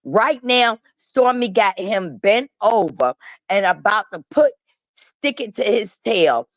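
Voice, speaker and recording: A woman's voice: medium pitch, animated, slow pace, no room sound. Dull-sounding, emphatic woman gossip host. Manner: emphatic, sassy storytelling in colloquial speech. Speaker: talk show host. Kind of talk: casual opinionated gossip commentary. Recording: dull, lo-fi online audio.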